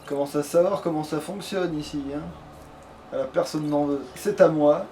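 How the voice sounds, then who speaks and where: A man's voice: fairly high pitch, moderate pace, warm tone, recorded from a distance. A man giving casual, grumbling, sweary commentary while playing a video game. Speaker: let's player. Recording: clear background noise, game ambience.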